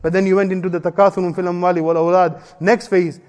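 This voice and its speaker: Man, imam, Friday sermon, earnest, repeats key lines, fast delivery, long reverb of a mosque hall. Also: high voice, level tone, a little mellow. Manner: earnest preaching, repeats key phrases, quotes Arabic verses. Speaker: imam giving a Friday talk.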